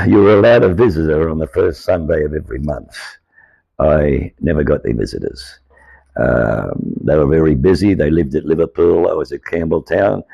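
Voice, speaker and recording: An older Australian man with a croaky, aged voice, telling his life story to camera in an earnest, rambling way. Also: sits low, warm tone, no room sound, rough recording. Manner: earnest, rambling, grows insistent. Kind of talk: personal monologue to camera.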